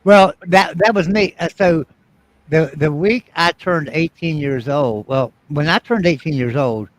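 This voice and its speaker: A man from Virginia, telling emphatic, animated stories with mild cursing in a casual live chat. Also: a little high, unhurried, dry recording, poor audio. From West Virginia.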